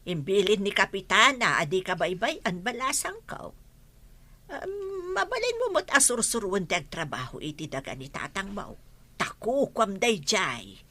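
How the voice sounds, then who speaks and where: An actress playing a kindly, talkative older village woman in a radio drama, with a motherly, expressive manner. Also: medium pitch, normal speed. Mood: motherly, welcoming.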